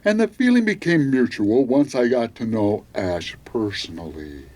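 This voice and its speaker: A man's voice: mid-range voice, sing-song, moderate pace, a little mellow. A man playing a laid-back, teasing late-night seventies music DJ with a jokey, self-satisfied manner.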